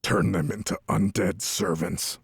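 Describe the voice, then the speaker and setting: A man playing a cold, imperious god of necromancers in a fantasy audio drama, with a deep, gravelly voice. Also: flat delivery, a crowd in the background. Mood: cold, commanding. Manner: slow, imperious. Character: dark male god of necromancers, cold and commanding.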